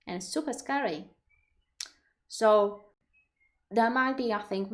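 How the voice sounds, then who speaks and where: Woman, Polish accent, non-native English; livestream host, reflective and explanatory, computer mic. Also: medium pitch. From Poland. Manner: thoughtful, explanatory, reads viewer questions aloud. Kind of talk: live Q&A talk.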